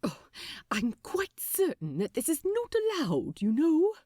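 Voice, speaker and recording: A woman's voice: high-pitched, wildly swooping pitch, unhurried, warm tone, dry recording, voice pushed up, heavily acted. A woman voicing a prim, fussy yet affectionate male angel in a storybook, with polite, old-fashioned, proper phrasing. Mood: fretful.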